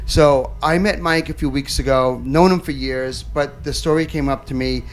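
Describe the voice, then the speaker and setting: A man's voice: medium pitch, steady tone, moderate pace. A man hosting an interview show, blunt and profane, stumbling over repeated words as he talks. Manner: stammering restarts, blunt and profane. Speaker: talk show host. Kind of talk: casual interview chat. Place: nightclub.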